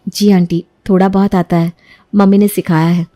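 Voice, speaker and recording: A woman's voice: fairly low pitch, little pitch movement, normal speed, dark tone, no room sound, clean studio sound, close to an everyday voice. A woman voicing a dutiful, modest young bride-to-be with a deferential, hesitant manner in a cartoon story. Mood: meek. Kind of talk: Hindi cartoon moral story dialogue.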